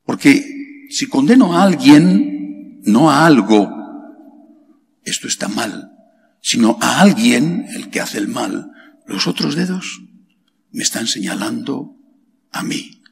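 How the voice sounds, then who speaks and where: Man from Spain, middle-aged, priest, emphatic sermon, rhetorical questions, gravelly voice, long hall echo. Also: very animated. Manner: slow and emphatic, rhetorical questions, pitch leaps on key words. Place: large chapel. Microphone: stand mic at lectern. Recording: long hall reverb, faint background hiss.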